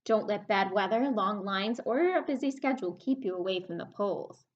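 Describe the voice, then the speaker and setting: A woman hosting a children's story time, reading aloud in a sing-song, animated way and prompting young listeners with questions. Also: medium pitch, normal speed, dull audio.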